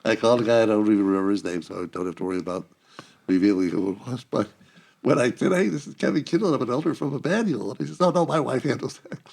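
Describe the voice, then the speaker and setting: An older man with a gravelly, husky voice chats and tells stories in a church group. His pitch swings in a lively way, and his manner is jokey. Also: fast. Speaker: church elder, seniors' group member. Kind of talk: casual chat, storytelling.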